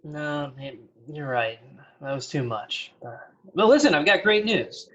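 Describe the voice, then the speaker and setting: A man in a sitcom table read playing an awkward, self-satisfied boss with a halting, casual delivery. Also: a little high, big pitch swings, fairly bright tone. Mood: placating, pleased. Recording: slightly muffled, dull audio.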